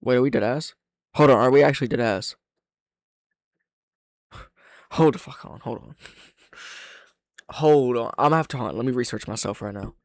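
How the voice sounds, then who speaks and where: A man gives casual reaction commentary in a very dry, close-sounding space. His pitch is middling for a man, and he thinks out loud, swearing freely and leaning on slang. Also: normal speed, pauses often, dry recording. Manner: profane, slangy, thinks aloud. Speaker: reaction video host.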